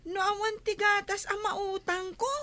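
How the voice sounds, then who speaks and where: A woman's voice: very high-pitched, little pitch movement, a little slow, bright, forceful tone, exaggerated voice. A woman with an Ilocano accent voicing a hesitant, pleading young village child in a comedy radio drama.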